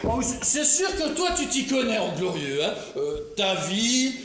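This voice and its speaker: A man's voice: high-pitched, big pitch swings, a little slow, a bit bright, full character voice. A man playing a sarcastic, resentful young painter son. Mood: angry. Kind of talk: stage comedy acting. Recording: very echoey stage recording with faint noise.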